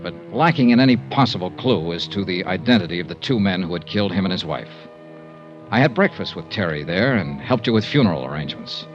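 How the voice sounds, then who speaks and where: An actor with an American accent playing a smooth, tough but tender insurance investigator in a 1950s radio drama, with measured, clipped delivery. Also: male voice, lowish voice. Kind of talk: 1950s radio detective drama acting and narration. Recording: dull 1950s radio recording.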